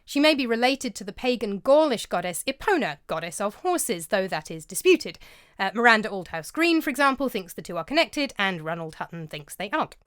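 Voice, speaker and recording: Female vlog narrator, scripted and scholarly, bright lilting delivery, bone-dry studio read. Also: mid-range voice, very animated, brisk, clear, resonant tone, no echo. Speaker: vlogger and narrator. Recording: dry close-mic voice-over.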